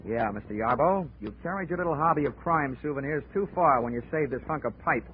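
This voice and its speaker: A man's voice: fairly high pitch, animated, fast talker, a little hiss or hum, close to an everyday voice. An actor playing a hard-boiled male insurance investigator, narrating with dry wisecracks and blunt tough-guy talk in 1940s radio acting. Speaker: narrator and lead. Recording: tinny old radio broadcast with music.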